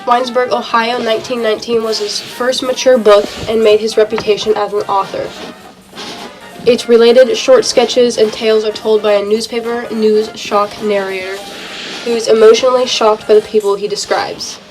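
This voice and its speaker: A woman's voice: on the high side, little pitch movement, normal speed, a little mellow, recorded from a distance, soft background music, low-quality recording. A young woman reading a scripted radio report as a 1920s-style presenter.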